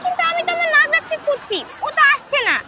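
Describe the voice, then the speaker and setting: A woman's voice: very high-pitched, lively intonation, quick pace, a loud crowd. A woman playing a plaintive, lovelorn serpent wife in an amateur comedy fairy-tale drama, calling out and complaining. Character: lovelorn serpent wife pining for her snake king, complaining of co-wife. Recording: very noisy outdoor location sound.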